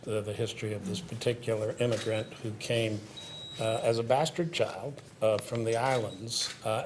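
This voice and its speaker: Measured older man in a panel talk. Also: mid-range voice, slight background noise. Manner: measured, thoughtful, lecturing tone. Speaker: panel guest. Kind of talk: casual panel discussion.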